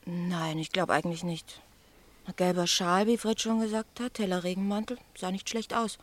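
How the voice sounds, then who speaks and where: An actress playing an observant, caring milk bar assistant in a German radio crime drama, chatty and matter-of-fact. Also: female voice, fairly low pitch, fairly even intonation, moderate pace, natural voice. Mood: eager, precise.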